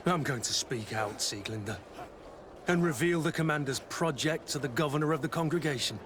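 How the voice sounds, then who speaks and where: An actor playing a regretful, earnest soldier in a video game. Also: male voice, on the high side, normal speed, lightly acted. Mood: bitter resolve. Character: betrayed, regretful soldier mentor of the Guard. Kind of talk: dramatic video game dialogue. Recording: clear outdoor ambient noise behind.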